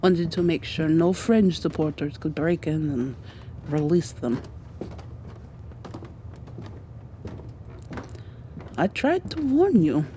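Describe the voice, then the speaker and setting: A woman voicing a devoted, guilt-ridden rescuer in an ASMR roleplay drama, hushed, halting and earnest. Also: deep voice, big pitch swings, a little hiss or hum. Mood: tense, determined.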